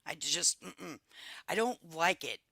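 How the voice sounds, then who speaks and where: A woman hosting a casual livestream, congested and a bit raspy from a cold, chatting to her viewers like friends. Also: lowish voice, very animated, slow pace, bright, forceful tone, no room sound, studio quality. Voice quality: raspier than usual. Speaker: livestream host. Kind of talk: casual reaction livestream chat.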